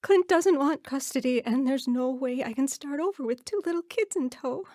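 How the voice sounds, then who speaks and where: A woman's voice: high voice, moderate pace, warm tone, no room sound, studio quality, close to an everyday voice. An actress playing a restless young mother in an audio drama, affectionate yet ready to leave. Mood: anxious, resolved. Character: restless young mother, affectionate but leaving her children.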